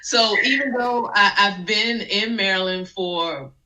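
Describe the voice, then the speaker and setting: A woman's voice: mid-range voice, unhurried, bright, forceful tone, low-quality recording. A woman in her forties from southern Virginia, speaking as a guest in an informal interview about her own work. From southern Virginia, USA. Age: 40s. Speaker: interview guest, project management executive.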